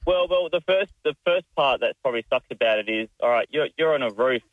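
A man's voice: mid-range voice, lively intonation, brisk, no room sound. Man on a dull phone line. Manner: good-humoured, mock-defensive. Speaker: caller, electrician. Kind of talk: casual phone-in banter.

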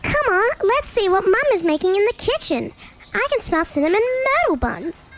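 A woman voicing a little boy koala cub in a children's story, squeaky and pleading. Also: very animated, normal speed, slight background noise, exaggerated voice. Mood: eager. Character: little boy koala and tiny possum, timid.

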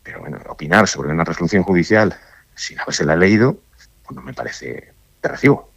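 Deep-voiced man, halting and thoughtful. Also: expressive, creaky, a bit bright, breathy edge, no room sound. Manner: thoughtful, halting, many restarts and fillers. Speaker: judge interviewed on radio. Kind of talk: radio interview answers.

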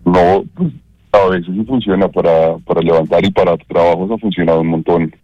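A man's voice: deep voice, expressive, normal speed, no room sound, highs cut off, faint music behind. A man in his thirties from Bogotá, calling into a talk show by phone, modest and a bit hesitant. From Bogotá, Colombia. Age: 30s. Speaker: radio show caller. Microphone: phone call.

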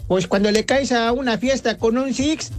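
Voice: mid-range voice, moderate pace, soft background music. A caller to a radio comedy show, telling a joke on air.

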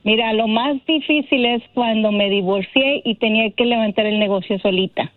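A woman's voice: a little high, moderate pace, dry recording, faint music behind. A middle-aged woman calling in by phone, chatting cheerfully and laughing often as she talks about her bridal shop. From Mexico (Monterrey) and Texas. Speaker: caller, bridal shop owner. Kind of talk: phone-in chat on a radio show. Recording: tinny phone line, dry.

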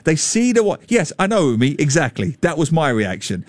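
Chatty man in his fifties, loud voice. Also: fairly high pitch, a little fast, no room sound. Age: 50s. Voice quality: slightly loud voice. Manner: chatty, jokey, animated storytelling. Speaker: radio show host. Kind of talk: casual radio talk. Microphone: studio microphone.

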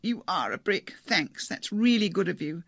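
A man's voice: sits high, very animated, normal speed, gravelly, fairly bright tone, no echo, heavily acted. A man voicing a flustered young female secretary with a gaspy, exaggeratedly grand accent.

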